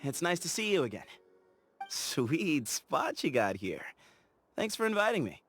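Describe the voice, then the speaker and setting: An actor voicing an easygoing, playful young explorer in a game, chatty and teasing, laughing easily. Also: male voice, a little high, sing-song, measured pace, a bit bright, faint music behind. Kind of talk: game character voice lines.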